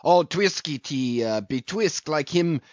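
Guy doing an excitable harpooner, broken english, higher voice, stumbling for words, roomy audiobook. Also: unhurried, muffled recording. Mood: excited, groping. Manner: disjointed, faltering, groping for words.

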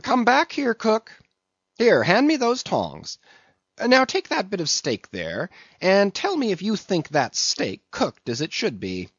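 A man voicing a brash, bossy whaling ship's mate in a storybook, teasing and interrogating loudly. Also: sits high, wildly swooping pitch, unhurried, husky, dry recording, dull audio, pitched above the performer's own voice, exaggerated voice. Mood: commanding. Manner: loud, teasing, interrogating, swooping exclamations.

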